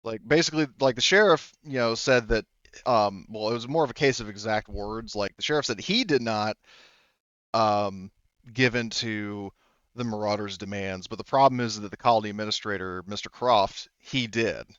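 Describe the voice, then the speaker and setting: A man improvising narration as a tabletop game master, rambling and haltingly correcting himself as he goes. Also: on the low side, a bit bright, clean tone, no echo, muffled recording. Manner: rambling, halting, self-correcting, full of "you know".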